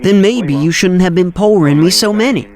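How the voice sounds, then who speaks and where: A man playing a calm air traffic controller in an audio drama, with a dryly exasperated streak. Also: high voice, moderate pace, natural voice.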